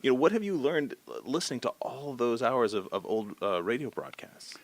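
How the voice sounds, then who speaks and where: A man's voice: mid-range voice, fairly quick, dry recording, poor audio. A man with an American accent, interviewing in a casual, joking, conversational way.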